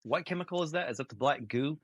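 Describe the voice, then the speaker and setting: A man's voice: medium pitch, expressive, fast talker, fairly bright tone, husky, no room sound. A man co-hosting a casual podcast, tossing in dry wisecracks. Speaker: podcast co-host. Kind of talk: casual banter.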